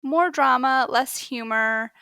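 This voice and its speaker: A woman's voice: sits high, slow pace, sharp tone, clear, resonant tone, dry recording. A woman in her thirties or forties, a podcast co-host chatting casually and animatedly about books she has read. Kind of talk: casual book chat.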